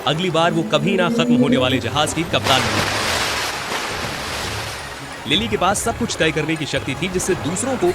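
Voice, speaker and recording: A male narrator telling a fairy tale in a fairly high voice that swings up and down expressively. Loud music plays underneath his scripted storytelling. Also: lively intonation, normal speed, long pauses.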